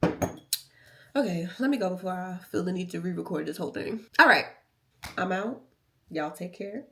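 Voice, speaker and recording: An American woman chatting casually and candidly to her vlog audience, playful and emphatic, with a slightly raspy, nasal voice. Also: fairly low pitch, animated, normal speed, slight background noise. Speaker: vlogger. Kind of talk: casual confessional vlog chat.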